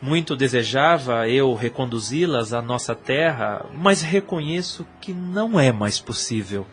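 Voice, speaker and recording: An actor playing a jealous, wounded suitor in an audio drama, with formal, theatrical diction. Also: male voice, mid-range voice, lively intonation, a little slow, fairly bright tone. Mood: polite, restrained. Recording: music under the voice. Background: music.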